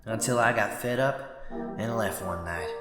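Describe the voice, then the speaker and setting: A man's voice: fairly low pitch, measured pace, echoey room, big-room sound, music in the background, lightly acted. A man voicing an indignant young boy bunny in an amateur cartoon dub, with a folksy, sassy drawl. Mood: somber recollection. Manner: folksy, sassy, scolding drawl with y'all and darn. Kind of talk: scripted amateur comic dub dialogue.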